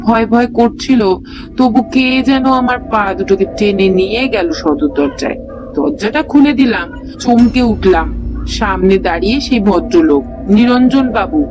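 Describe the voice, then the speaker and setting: A woman's voice: mid-range voice, expressive, unhurried, voice pushed up, close to an everyday voice, poor audio. A woman voicing a nervous, bewildered young man in an audio ghost story. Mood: shaken, recounting. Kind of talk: audio story character acting. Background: music.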